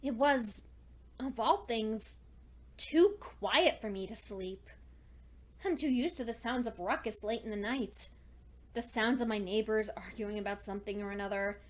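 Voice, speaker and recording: A woman voicing a lonely, studious female pony who clings to hope, reading her diary entries in an earnest, deliberate, dramatic fan fiction style. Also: a little high, close to an everyday voice. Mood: distressed, lonely.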